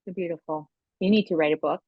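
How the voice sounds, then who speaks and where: Podcast host, woman, slightly low pitch, affirming delivery, phone-like narrowband sound. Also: steady tone, fast talker, slightly rough, no room sound. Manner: affirming, effusive, gropes for words in lists. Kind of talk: conversational interview.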